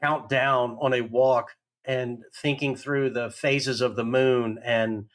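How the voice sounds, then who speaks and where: A man's voice: mid-range voice, fairly even intonation, takes it slowly. A man hosting a podcast interview, asking questions in a casual, enthusiastic way. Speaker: podcast host.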